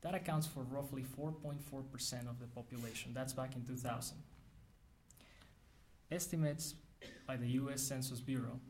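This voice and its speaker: A man from Mexico, giving an academic lecture in an earnest, measured way with frequent restarts. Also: medium pitch, steady tone, darkish tone, a little hiss or hum.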